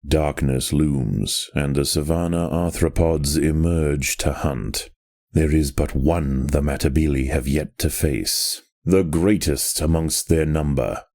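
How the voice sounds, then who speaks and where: A man narrates in the manner of a nature documentary, with a very deep, mellow voice. His delivery is measured and solemn, with a sense of drama. The sound is dry and close, as scripted game narration. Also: steady tone, no echo.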